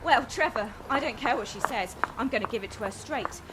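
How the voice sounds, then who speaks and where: A woman's voice: fairly high pitch, fairly quick, background noise, close to an everyday voice. A woman playing a frank, kind-hearted young woman in a radio comedy, chatty and earnest as she explains herself. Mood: indignant, determined. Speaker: actor in a radio play. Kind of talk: naturalistic radio comedy acting.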